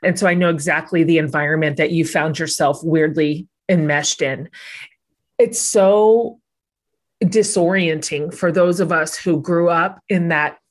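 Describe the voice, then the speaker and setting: A woman host interviews a guest in a thoughtful, measured voice that sits on the lower side. She stretches out key words for emphasis as she builds her questions. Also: fairly even intonation. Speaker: podcast host. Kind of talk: interview conversation.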